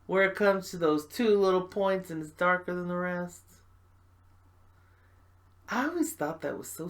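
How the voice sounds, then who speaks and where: A woman's voice: on the low side, pushed and bright, exaggerated voice. A woman performing a stage monologue as a hurt, bitter woman confiding about a former lover, with dramatic delivery. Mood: wistful.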